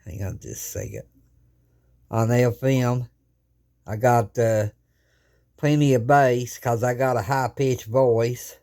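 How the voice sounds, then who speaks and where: A man's voice: medium pitch, slow, long pauses, mellow. A man who runs ham radio, casually showing off his gear at his home radio desk in a folksy, rambling way, recorded on a handheld phone camera. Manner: rambling, folksy, plain-spoken with many restarts.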